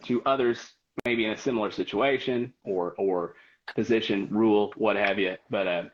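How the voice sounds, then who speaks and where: A man in his forties chatting casually in a halting, hedging way. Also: on the low side, muffled recording, a little hiss or hum. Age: 40s. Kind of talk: casual chat.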